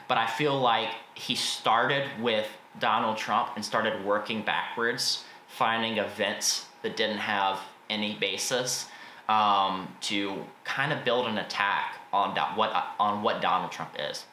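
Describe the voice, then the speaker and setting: A man's voice: lowish voice, pushed and bright. An American man giving a casual, informal and opinionated book review as a video host. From United States. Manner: informal, opinionated, mild swearing. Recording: roomy echo, faint background noise.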